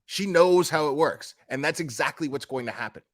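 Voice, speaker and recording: A man's voice: a little high, lively intonation, brisk. A man giving casual, opinionated commentary as a streamer, speaking in a blunt, emphatic, foul-mouthed manner.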